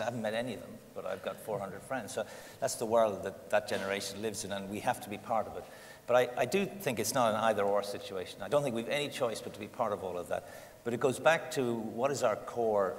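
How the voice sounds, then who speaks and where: A man's voice: lowish voice, a little hiss or hum. A middle-aged Irish man answering interview questions as a consultant, fluent and full of anecdotes with quoted dialogue. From Ireland. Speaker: guest, diaspora consultant. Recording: echoey hall with faint ambience.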